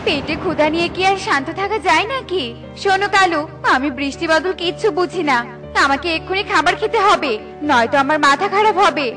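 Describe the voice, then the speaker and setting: A woman's voice: very high-pitched, brisk, over music. A woman voicing a hungry, impatient, demanding female crow in a children's cartoon, with a whiny, insistent manner. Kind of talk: Bengali children's cartoon voice acting.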